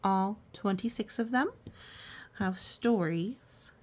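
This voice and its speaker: A woman reading aloud for children in a storytime style, gently explaining with casual asides. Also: mid-range voice, takes it slowly. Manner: gentle explaining to young listeners. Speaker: storytime reader.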